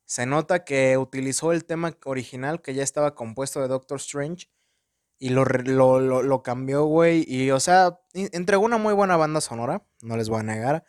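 A man's voice: medium pitch, moderate pace, darkish tone, clear, resonant tone, dry recording, studio quality. A young Mexican man with a Mexican accent, casually reviewing a movie at home in slangy, profane talk full of filler words. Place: room at home in front of a window.

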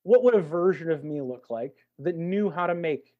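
A man's voice: fairly high pitch, animated, normal speed, no echo, phone-quality sound. A man talking in a reflective, conversational way as a podcast guest, encouraging and reassuring as he gives advice. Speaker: podcast guest, actor and tarot reader.

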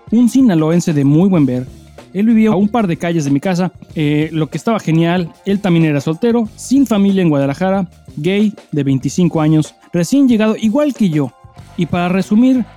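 A man's voice: high-pitched, animated, normal speed, mellow, faint music behind. A man with a Mexican accent co-hosting a casual podcast, talking in loose, sweary slang as he chats and reads listener mail. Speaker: podcast co-host.

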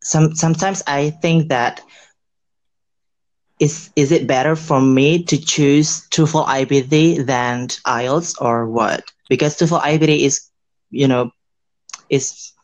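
Halting host with nonstandard English. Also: male voice, fairly high pitch, fairly even intonation, no room sound. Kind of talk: casual interview chat.

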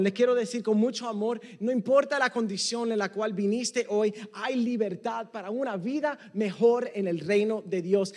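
A Puerto Rican man preaches a sermon with a high voice. He moves between Spanish and English, pressing hard on some phrases and dropping to a hush on others. The sound carries a long hall echo. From Puerto Rico. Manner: emphatic, rising and dropping to near hush. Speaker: pastor.

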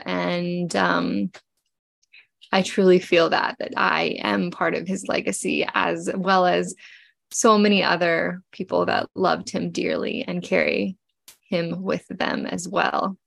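Slow, gravelly woman with a cold. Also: lowish voice, flat delivery, dry recording. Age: 40s. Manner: gentle and heartfelt, with frequent pauses and fillers. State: congested, getting over a cold. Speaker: podcast host. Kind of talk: personal reflective podcast talk.

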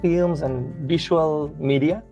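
A man's voice: fairly high pitch, fairly even intonation, slow, mellow, highs cut off, soft background music. A young man from Chile with a Chilean Spanish accent, a Spanish native speaker, talking as a musician guest in a casual interview, hesitant and full of restarts. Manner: hesitant, with many restarts and fillers.

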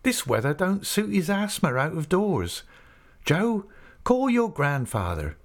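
A man's voice: high voice, very animated, a little slow, voice pushed up, exaggerated voice. A man reading a storybook, voicing a cheerful young woman who is a mother and a shopkeeper's daughter.

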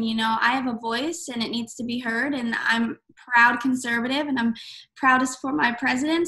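Bright, eager young woman guest. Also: on the high side, poor audio. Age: 20s. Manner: eager, chatty, with fillers and restarts. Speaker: guest, singer-songwriter and commentator. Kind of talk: casual interview.

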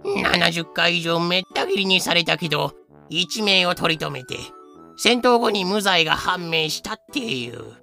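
An actor plays a chatty seller of pirate stories in an audio drama. The voice is gravelly and bright, talking in a rough, bargaining way. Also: mid-range voice, animated, measured pace, soft background music, heavily acted. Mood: chatty, sly. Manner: casual, rough, bargaining speech. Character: grasping, chatty teller of pirate tales. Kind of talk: Japanese fan-made audio drama acting.

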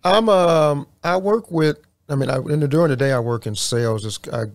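A man from Houston, Texas, guesting on a podcast and telling about his work in a hesitant way, with frequent pauses and restarts. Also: a little high, no echo, studio quality. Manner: hesitant, many fillers and restarts. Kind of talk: interview answers.

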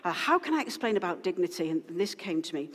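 A woman's voice: fairly low pitch, big pitch swings, quick pace, husky. A woman pastor giving an informal, rambling sermon to her congregation, full of asides and restarts. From Wales. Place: church hall. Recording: long hall reverb.

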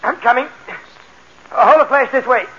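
An actor playing a nervous young accomplice in a 1940s radio drama, uneasy and full of questions. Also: male voice, very high voice, normal speed, a little hiss or hum. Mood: frightened. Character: nervous young male accomplice, anxious sidekick. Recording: muffled old radio recording.

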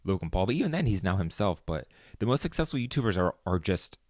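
A man's voice: sits low, very animated, fast talker, darkish tone, no room sound, clean studio sound. A young man hosting his own podcast, talking alone in a casual, rambling way with lots of fillers. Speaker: podcast host. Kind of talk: solo podcast monologue.

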